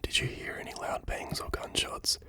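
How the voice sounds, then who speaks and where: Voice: normal speed, natural voice. A reader whispering the lines of an eager, competitive young female detective.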